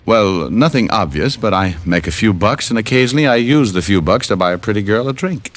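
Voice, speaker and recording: Low-voiced, wry private eye played by a man. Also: brisk, natural voice. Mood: flirtatious. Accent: American. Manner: wry, smooth-talking, deadpan. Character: wry, flirtatious hard-boiled male private detective narrating his case. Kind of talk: 1940s-50s radio detective acting. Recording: old radio recording with music behind.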